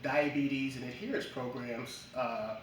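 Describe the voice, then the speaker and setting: A man from Jamaica, a guest in a casual interview, speaking in a measured way with frequent ums and uhs. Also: medium pitch, steady tone, a little hiss or hum. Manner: measured, many ums and uhs, lists achievements. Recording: echoey room from a distance.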